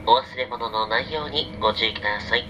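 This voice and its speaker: A man making a formal, polite live announcement as a train conductor through a PA loudspeaker. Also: mid-range voice, brisk, lo-fi sound. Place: inside a moving train, through PA speaker. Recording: noisy train car, recorded off overhead speaker. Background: loud train running noise.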